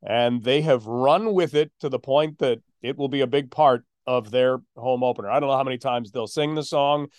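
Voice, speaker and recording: A man hosting a hockey podcast as a play-by-play announcer, telling stories in a measured way with drawn-out emphasis. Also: medium pitch, a little mellow, no echo. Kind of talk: sports podcast conversation.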